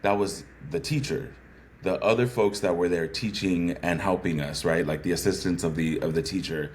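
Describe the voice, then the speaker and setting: A man with a bassy voice talking as a guest, sharing personal stories earnestly and often checking in with "right?". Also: normal speed, slight background noise. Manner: earnest, thoughtful, frequent "right?" asides. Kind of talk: reflective conversational storytelling.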